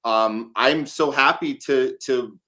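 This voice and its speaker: A man's voice: mid-range voice, slow, sharp tone. A man hosting an interview in a conversational style, speaking as the show's host.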